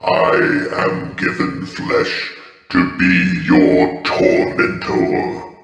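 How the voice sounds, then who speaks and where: A man voicing a huge wrathful nightmare monster in a video game, with a deep, ominous growl. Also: monotone, extremely slow, creaky, fairly bright tone, exaggerated voice. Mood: wrathful doom. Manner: ponderous, ominous. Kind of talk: video game villain lines. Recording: cavernous reverb, faint music.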